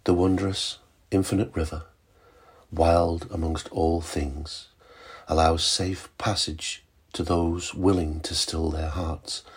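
A man recites a poem in a very low, gravelly voice. His tone is heavily husky, and he takes each line slowly and deliberately. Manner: slow, measured, dramatic recital. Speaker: library service manager. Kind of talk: poetry reading.

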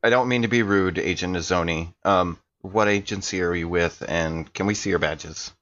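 A man with a fairly low voice talks casually as a player in a tabletop game. The sound is a little dull and muffled. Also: moderate pace, fairly bright tone, no room sound.

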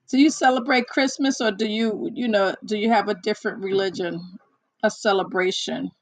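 A hoarse woman in casual one-on-one chat, talking haltingly with many fillers and restarts. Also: medium pitch, no echo, poor audio. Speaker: chat partner.

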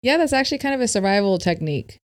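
Calm explanatory woman podcast guest. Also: mid-range voice, very fast. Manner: calm, explanatory, laughs easily. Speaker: podcast guest, breathwork coach. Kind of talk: casual conversation.

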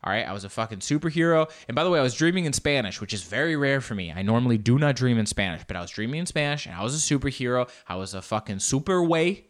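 A man's voice: mid-range voice, fast talker, few pauses. A man in his late twenties telling a comedic story in a rambling, casual way, swearing often. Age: 20s. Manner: rambling, animated, swears a lot.

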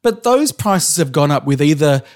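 An Australian man with a voice set a bit high for a man, pressing his case in a casual podcast debate. He is insistent and keeps asking for proof, with casual swearing mixed in. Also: very animated, normal speed, darkish tone. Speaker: podcast co-host.

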